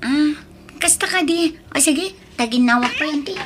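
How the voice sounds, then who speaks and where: A woman's voice: high voice, normal speed, a little hiss or hum, lightly acted. A woman playing a young, no-nonsense farm niece in a radio drama, brusque and aloof, with an Ilocano accent. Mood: casual. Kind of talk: Filipino radio drama acting.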